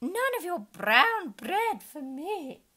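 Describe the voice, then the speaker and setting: A woman voicing an excitable, boastful male unicorn who talks in shrill, eager cries. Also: takes it slowly, full character voice.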